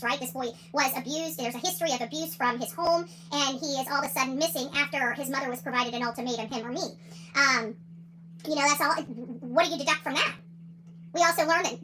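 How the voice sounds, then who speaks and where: A woman's voice: high-pitched, sharp tone, a little hiss or hum, low-quality recording. A woman hosting a livestream, giving opinionated commentary in a combative, indignant and emphatic way, often repeating phrases for emphasis. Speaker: livestream host.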